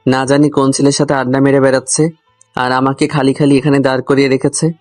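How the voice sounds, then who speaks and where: A man's voice: medium pitch, level tone, quick pace, darkish tone. A man narrating a comic love story in the first person, grumbling and talking straight to the listener. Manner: grumbling, addresses the listener directly. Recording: music under the voice. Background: background music.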